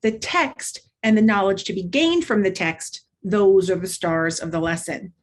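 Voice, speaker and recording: Female instructor for a training lesson, medium pitch, methodical and clearly organized delivery. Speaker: literacy instructor. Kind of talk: instructional presentation with modeled read-aloud.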